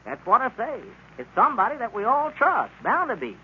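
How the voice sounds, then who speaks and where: A man's voice: very high voice, huge pitch swings, brisk, full character voice. An actor playing an earnest, righteous small-town townsman, talkative and emphatic, in old-time radio drama. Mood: indignant. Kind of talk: 1930s-40s radio drama acting. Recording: muffled, noisy old radio recording.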